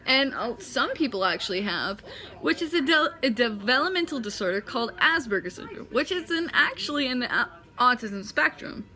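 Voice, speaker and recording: Young woman vlogger, nineteen, high bright voice, chatty and self-correcting. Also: big pitch swings, normal speed. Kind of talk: casual talking-head explainer.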